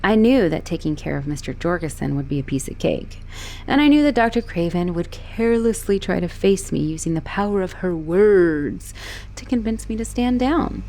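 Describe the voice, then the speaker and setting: Woman playing an unhinged, possessive girlfriend, wildly expressive. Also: lowish voice, moderate pace. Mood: wry, confiding. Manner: teasing, possessive, expressive, swinging between sweet and exasperated. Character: obsessive, manipulative young woman, an asylum escapee and kidnapper. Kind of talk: dramatic roleplay audio monologue addressed to the listener.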